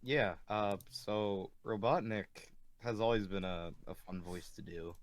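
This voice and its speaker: A man's voice: fairly low pitch, unhurried. A man talking casually as a voice actor, in a hesitant, thoughtful way. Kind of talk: casual chat.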